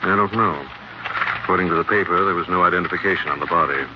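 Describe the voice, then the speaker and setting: An actor playing an unruffled, wry gentleman sleuth with dry understatement, in 1940s radio drama style. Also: low-pitched, fast, natural voice. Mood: wry, relaxed. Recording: dull, noisy 1940s radio recording.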